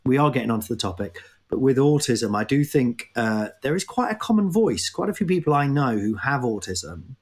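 A man's voice: mid-range voice, expressive, brisk, few pauses, breathy edge. Tired nottingham guy rambling on a comedy podcast, pokes fun at himself. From Nottingham, England. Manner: rambling, self-mocking, breaks into laughs. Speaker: podcast host. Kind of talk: casual comedic chat.

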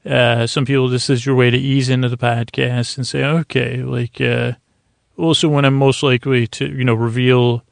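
A man hosting a podcast, rambling in a halting, meandering, self-deprecating way with a gravelly voice, as a bedtime monologue. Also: medium pitch, little pitch movement, no room sound. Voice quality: gravelly, creaky. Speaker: podcast host.

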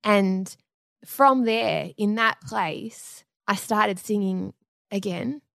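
A woman's voice: mid-range voice, very slow, creaky, no room sound, clean studio sound. A woman sharing a heartfelt personal story candidly in a conversation. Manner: candid, heartfelt, animated in telling.

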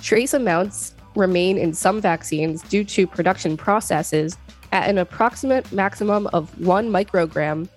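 A woman's voice: fairly low pitch, unhurried, faint music behind. A woman reading an encyclopedia article aloud as a scripted narration.

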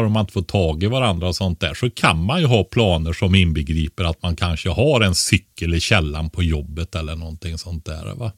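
A man with a low voice, recorded close and dry, speaks as an expert on a podcast. He explains things in a casual, conversational way and often ends a point with a short questioning tag. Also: fairly bright tone, dry recording. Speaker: expert podcast co-host giving preparedness advice.

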